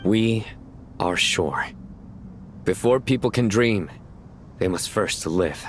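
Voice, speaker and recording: An actor playing an earnest, resolute man in a game, measured and sincere. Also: fairly low pitch, expressive, a little hiss or hum. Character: earnest, resolute mortal man negotiating for his people. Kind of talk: scripted video game cutscene acting. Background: music.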